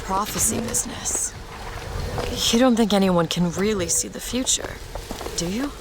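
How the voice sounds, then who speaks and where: A woman's voice: medium pitch, normal speed, very noisy, lightly acted. A woman playing an earnest, sheltered young woman in a dramatic video game, with music behind her. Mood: sad, reflective. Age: young adult. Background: loud music.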